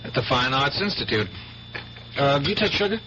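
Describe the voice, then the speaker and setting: An actor playing an earnest, dreamy and evasive painter in a 1970s radio drama, with a halting, dramatic delivery. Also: male voice, mid-range voice, animated, natural voice. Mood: casual, evasive. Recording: muffled 1970s radio broadcast, faint hum.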